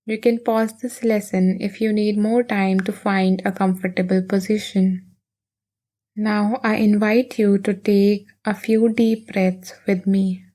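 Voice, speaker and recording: Lady doing a guided meditation, really slow, mellow n soothing, long pauses. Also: medium pitch, little pitch movement.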